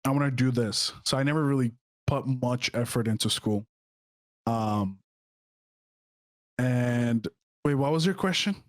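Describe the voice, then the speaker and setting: A man's voice: medium pitch, unhurried, long pauses, no room sound. Young Czech-born man, hesitant chat. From Czech Republic. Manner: hesitant, many fillers and restarts. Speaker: guest streamer. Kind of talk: casual podcast chat.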